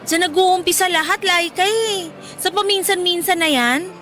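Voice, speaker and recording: A woman's voice: extremely high pitch, normal speed, pushed and bright, soft background music. An actress playing a blunt, protective young woman scolding as a best friend, in Filipino radio drama with a Filipino accent. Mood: nagging, concerned.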